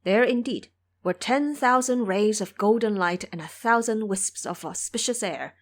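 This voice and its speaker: A woman's voice: medium pitch, a little slow. A woman narrator telling a scripted story in the style of an audio drama.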